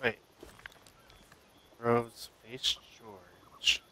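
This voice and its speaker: A man's voice: medium pitch, level tone, extremely slow, very noisy. A man chatting casually as a co-player during a video game. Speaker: gaming co-player. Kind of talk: casual gameplay chat.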